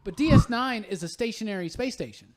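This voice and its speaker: A man hosting a casual podcast chat, telling stories in an animated, quote-filled way. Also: high voice, fairly quick, heavy background noise. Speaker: podcast host.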